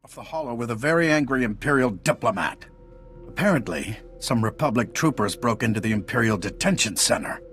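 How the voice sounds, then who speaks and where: An actor playing an authoritative, annoyed senior intelligence agent in a video game, sharp and accusatory. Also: male voice, mid-range voice, expressive, fairly quick, faint music behind. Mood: irritated, accusing. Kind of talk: video game dialogue acting.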